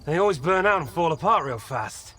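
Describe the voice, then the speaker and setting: A man's voice: a little high, animated, a little fast, bright, forceful tone, a little hiss or hum. An actor playing a hot-tempered, domineering man in a video game, brusque and confrontational. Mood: suspicious. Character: hot-tempered, foul-mouthed, domineering man in bleak zone. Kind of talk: video game cutscene acting.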